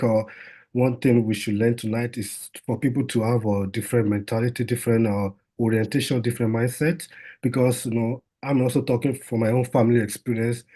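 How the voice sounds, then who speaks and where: A man's voice: mid-range voice, mellow. A man, a doctor, giving an informal health talk in a conversational way, with lots of fillers and restarts.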